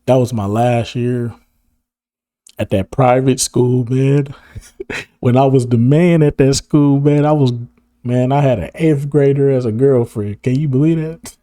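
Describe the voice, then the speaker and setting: A hoarse man of about thirty, talking casually to camera in a rambling, slang-heavy way and laughing often. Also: mid-range voice, steady tone, measured pace, warm tone, dry recording, studio quality. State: hoarse, losing his voice. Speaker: video creator. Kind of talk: casual reminiscing monologue to camera.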